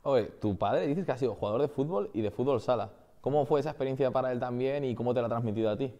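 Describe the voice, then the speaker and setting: Male podcast host, fast-paced, conversational questions. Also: mid-range voice, dark tone, lo-fi sound. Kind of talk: interview questions.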